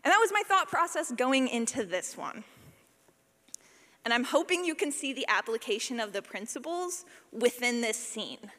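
Woman, high-pitched voice, casual presenter, playful, self-deprecating, conversational, long hall reverb. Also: big pitch swings, moderate pace, a bit bright. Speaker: sound designer giving a workshop. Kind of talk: casual, conversational technical talk.

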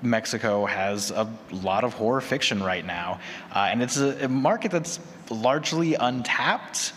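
A man's voice: mid-range voice, expressive, a little slow, fairly bright tone. A man speaking conversationally as moderator and podcaster at a live panel, often checking in with "you know" and "right?". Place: large conference room with audience. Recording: echoey hall with background noise.

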